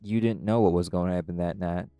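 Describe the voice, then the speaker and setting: A man playing a calm, caring were-dog pack alpha in an audio roleplay, gently reassuring a friend. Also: low-pitched, fast, clean tone, no room sound, soft background music. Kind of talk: audio roleplay acting, intimate comforting talk.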